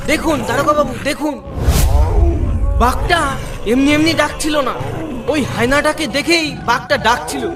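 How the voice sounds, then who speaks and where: A man's voice: extremely high pitch, fairly even intonation, moderate pace, long pauses, recorded from a distance, over loud music. A man voicing a deferential, anxious constable in a cartoon, reporting to his superior. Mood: puzzled, alert. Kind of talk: cartoon voice acting.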